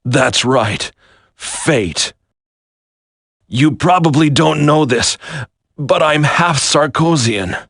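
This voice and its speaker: Slow, grave military traitor. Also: male voice, a little high, fairly bright tone, breathy edge, no room sound, clean studio sound, heavily acted. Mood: solemn, fatalistic. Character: grave male military commander turned traitor, resigned to fate. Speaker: video game voice actor. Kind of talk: dramatic scripted video game acting.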